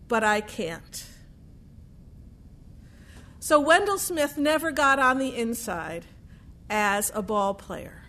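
A woman telling a true story aloud in a deliberate way, with dramatic pauses and repetition. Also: fairly high pitch, fairly bright tone.

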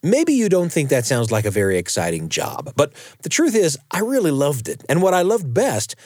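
A man's voice: on the high side, huge pitch swings, moderate pace, a little mellow, no room sound, studio quality. A middle-aged American man hosting a radio show, chatting and telling personal stories. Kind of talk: warm-up chat and personal storytelling narration.

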